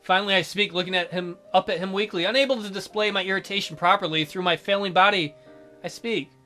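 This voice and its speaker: A man's voice: high-pitched, quick pace, bright, forceful tone, faint music behind. A man hosting a let's play, reading visual novel narration aloud and adding wry asides.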